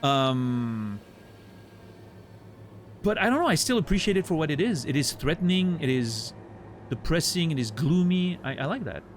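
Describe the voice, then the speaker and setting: A man's voice: a little high, sing-song, normal speed, long pauses, slight background noise. A man with a French accent, French his first language, chatting casually on a livestream while he plays, thinking aloud and answering chat with playful mock outrage. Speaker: streamer. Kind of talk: casual livestream gameplay commentary.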